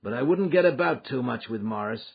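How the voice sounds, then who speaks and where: Guy doing a huge bossy american gang boss for an audiobook, raspy, loud and blunt, menacing, muffled recording. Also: mid-range voice, expressive, fairly quick, pitched above the performer's own voice. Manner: blunt, domineering, folksy threats. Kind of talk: audiobook character acting.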